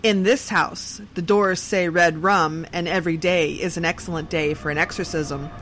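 A woman's voice: on the low side, steady tone, normal speed, fairly bright tone. A woman hosting a horror radio show, moving between spooky scripted lines and casual chat. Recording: clear background noise. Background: ambient noise.

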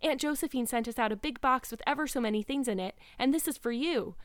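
A woman's voice: high voice, fast talker, no room sound, clean studio sound, pitched above the performer's own voice, close to an everyday voice. A woman voicing a friendly, breathless young girl in a storybook, chatty and eager. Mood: eager, pleased.

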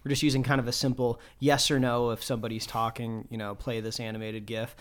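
Guy cohosting a podcast, excited, talks fast with lots of likes. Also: medium pitch. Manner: quick and enthusiastic, lots of fillers. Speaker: podcast co-host. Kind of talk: casual podcast chat.

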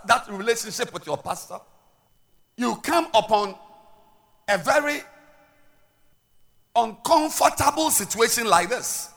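A man with a Ghanaian accent preaching a sermon as pastor, swinging between loud declarations and quiet asides and repeating words as he restarts. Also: very high-pitched, gravelly.

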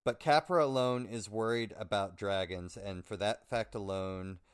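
Low-voiced man reading a summary. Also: moderate pace, clear, resonant tone. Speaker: podcast co-host. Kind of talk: reading a prepared chapter summary.

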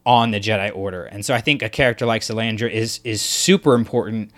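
A man co-hosting a relaxed discussion podcast. He thinks out loud, wandering through his points with plenty of fillers and restarts. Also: on the low side, quick pace. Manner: thoughtful, rambling with fillers and restarts. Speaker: podcast co-host.

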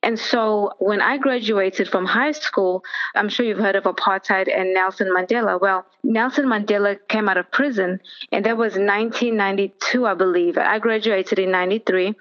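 A middle-aged woman, telling her story in an earnest, steady way as an interview guest. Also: medium pitch, moderate pace, highs cut off. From South Africa. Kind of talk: personal testimony in an interview.

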